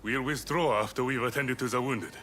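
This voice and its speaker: An actor playing a dutiful, sober samurai officer in a game cutscene, matter-of-fact in delivery. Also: male voice, medium pitch, fairly quick, background noise, lightly acted. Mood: businesslike.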